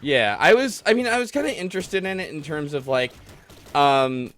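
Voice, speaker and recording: A man's voice: a little high, big pitch swings, moderate pace, a bit bright. A man hosting a gaming stream, talking in a casual, conversational way. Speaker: stream host. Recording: music playing behind the talk. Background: music.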